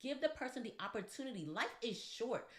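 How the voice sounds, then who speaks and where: A woman co-hosting a casual podcast with her husband, talking straight to the listeners in an animated, preachy way. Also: fairly high pitch, fairly quick, reverberant. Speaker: co-host.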